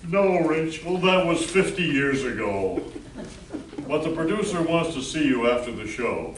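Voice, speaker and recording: A man's voice: a little high, big pitch swings, unhurried. A man narrating and emceeing a scripted live amateur radio play. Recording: distant echoey room, faint noise.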